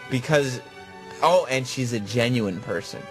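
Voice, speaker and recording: A man's voice: medium pitch, a little slow, soft background music. A man on a radio talk show, defending himself evasively as the others tease him. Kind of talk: radio banter.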